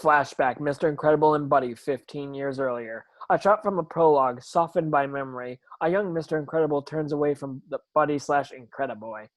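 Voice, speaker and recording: A man's voice: on the high side, brisk, hardly any pauses, dry recording. A man narrating screenplay stage directions in a plain reading, occasionally stumbling over words.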